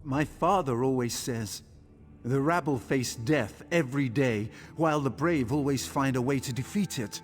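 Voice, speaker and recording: A man playing an earnest young adventurer eager to prove himself in a fantasy video game, speaking deliberately. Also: medium pitch, lightly acted. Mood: resolute, eager. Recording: long reverb, faint background music.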